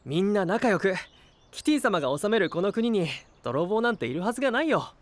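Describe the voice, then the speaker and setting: A man's voice: high voice, very animated, fairly quick. A man playing an earnest, trusting young knight hero in an anime-style voice drama, speaking with friendly sincerity. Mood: disbelieving.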